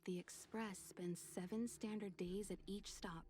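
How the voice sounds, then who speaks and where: A woman voicing a gentle, reassuring, mature mentor in a video game. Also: mid-range voice, steady tone, measured pace, natural voice. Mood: reassuring, reflective. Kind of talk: scripted video game acting.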